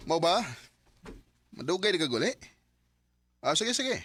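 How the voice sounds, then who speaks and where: Husky, animated Cebuano boyfriend. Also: male voice, a little high, a little slow, heavily acted. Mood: casual, defensive. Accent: Cebuano Filipino. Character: anguished young boyfriend torn by temptation and betrayal. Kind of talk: radio drama acting.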